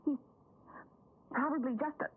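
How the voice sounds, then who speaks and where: A woman's voice: high-pitched, steady tone, moderate pace, natural voice. An actress playing a nervous, frightened woman in an old radio thriller, hesitant and anxiously polite. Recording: tinny, noisy old radio broadcast.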